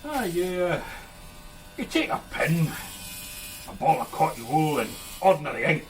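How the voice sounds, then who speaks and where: A man's voice: on the high side, very animated, unhurried, a little hiss or hum, rough recording. An actor playing a blunt, matter-of-fact male tattoo artist with a Glasgow accent. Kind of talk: radio drama acting.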